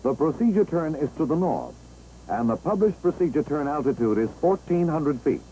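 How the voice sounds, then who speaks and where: An American man narrating a 1960s instructional film, explaining step by step in a measured, clear way. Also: a little high, very animated, dark tone, rough recording. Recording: old 1960s film soundtrack, engine-like background noise.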